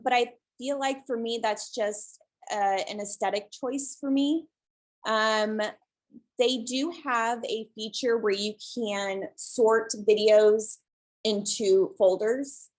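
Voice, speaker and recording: Calm woman, webinar presenter on a video call; soft, unhurried, hesitant fillers. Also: fairly high pitch. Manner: relaxed, many ums, soft and calm. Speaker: guest presenter, shelter social media creator.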